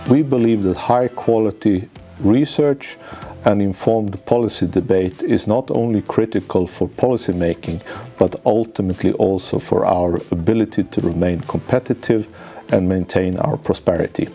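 Deep-voiced man, formal and measured. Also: warm tone, breathy edge. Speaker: managing director, economics professor. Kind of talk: scripted corporate presentation. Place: office lounge, indoors. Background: faint background music.

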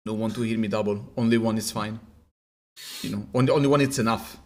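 A man's voice: lowish voice, fairly even intonation, quick pace. A young man from Italy with an Italian accent, chatting and joking as a streamer in a small room at home, often stumbling over his words. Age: young adult. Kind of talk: casual live-stream chat. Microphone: webcam framing, wearing headphones.

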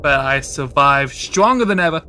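A man's voice: a little high, animated, normal speed, sharp tone. A man voicing a childishly melodramatic accuser from an angry crowd in a comedy game dub. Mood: dramatic, aggrieved. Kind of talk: comedy fan dub of a game, improvised dialogue.

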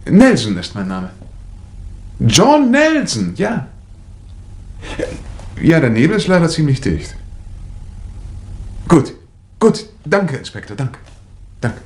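An actor playing a cool, wry amateur detective in a 1960 radio mystery, with dry, theatrical banter. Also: male voice, fairly high pitch, huge pitch swings, slow pace, pauses often, darkish tone, heavily acted. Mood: urgent, polite. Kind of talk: 1960 German radio mystery play acting. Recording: vintage vinyl radio play, noticeable surface noise.

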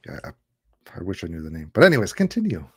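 A man's voice: low-pitched, wildly swooping pitch, fast talker, a bit bright. A man co-hosting a live stream in a casual, chatty way. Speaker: co-host. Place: indoors on a video call. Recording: clear background noise, clicks.

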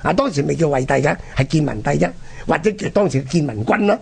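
A man with a Hong Kong Cantonese accent and a husky, gravelly voice, telling history conversationally and dramatically as a radio presenter. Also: on the high side, expressive, fast talker. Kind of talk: animated conversational history storytelling.

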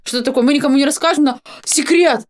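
A woman's voice: high voice, fast talker, a loud crowd. A young woman chatting casually and slangily as a reaction co-host, close on the mic in a small room. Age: young adult. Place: small home streaming room.

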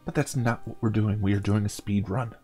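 A man's voice: lowish voice, steady tone, fairly quick, mellow, husky, faint music behind. A man hosting a gaming show, reading a fantasy story theatrically with casual commentary.

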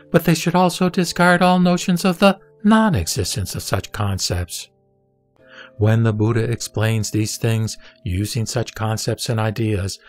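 A man playing the Buddha, a solemn spiritual teacher, reciting scripture in a measured audio drama delivery. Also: medium pitch, natural voice. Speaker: scripture reader.

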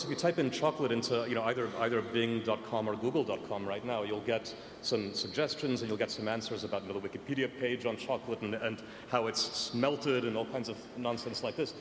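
A man speaking energetically on a conference panel, with many fillers. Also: mid-range voice. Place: large conference hall. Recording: echoey conference hall, faint noise.

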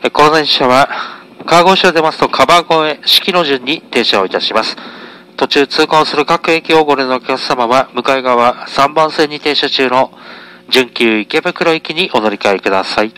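A man's voice: medium pitch, normal speed, bright, forceful tone, clear, resonant tone, poor audio. A man, a train conductor, making a formal, polite, routine live announcement on board.

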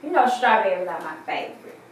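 A woman's voice: medium pitch, expressive, brisk, natural voice. An echoey, slightly hissy room surrounds a woman acting as a sassy friend who meddles and flirts. She teases freely, in an amateur stage play. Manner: sassy, teasing. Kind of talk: amateur stage drama dialogue. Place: small living room, far camera. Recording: echoey room, distant mic, hiss.

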